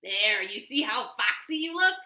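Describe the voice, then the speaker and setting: A woman's voice: a little high, big pitch swings, a little slow, pushed and bright, full character voice. A woman voicing an eager male fox from a children's storybook, coaxing and emphatic as he gives advice. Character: male fox, eager smooth-talking adviser, storybook animal. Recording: roomy, echoey home recording.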